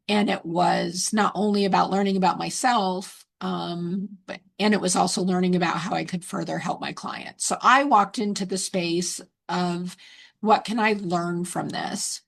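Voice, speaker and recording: A woman giving a recorded testimonial with a hoarse, scratchy voice from a cold. She reflects earnestly and pauses often on ums. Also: medium pitch. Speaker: retreat attendee giving a testimonial.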